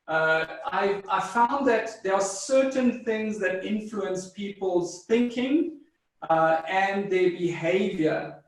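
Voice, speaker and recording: A South African man whose first language is German, answering interview questions in a measured, thoughtful way with frequent fillers and restarts. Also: sits high. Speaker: guest psychologist. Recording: distant mic in a very echoey room.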